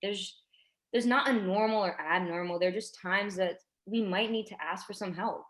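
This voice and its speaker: A woman's voice: mid-range voice, moderate pace, close to an everyday voice. A woman playing an earnest, tactful schoolteacher in her twenties in a staged drama reading, speaking hesitantly and delicately. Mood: careful, reassuring.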